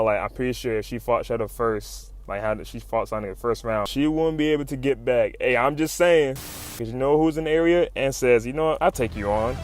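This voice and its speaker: A man giving a casual, slangy commentary with swearing and fannish exclamations, retelling a story like a fan talking to friends. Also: medium pitch. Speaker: YouTube comic recap narrator. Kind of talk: casual hype commentary, retelling comic fights. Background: music under the voice-over.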